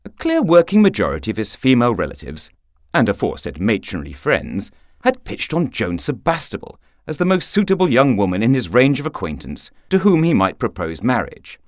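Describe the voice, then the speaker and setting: Animated male storyteller, dry close sound. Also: medium pitch, a little fast, no echo. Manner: theatrical, expressive storytelling. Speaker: narrator of a short story. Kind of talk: scripted literary narration for radio.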